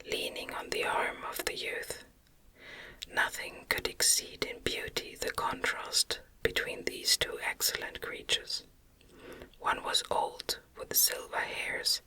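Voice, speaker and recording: A woman narrates a literary audiobook entirely in a soft whisper. Her delivery is gentle and very unhurried, in an ASMR style. The whisper is breathy, with a very bright, sharp edge. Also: poor audio. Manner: gentle, measured reading.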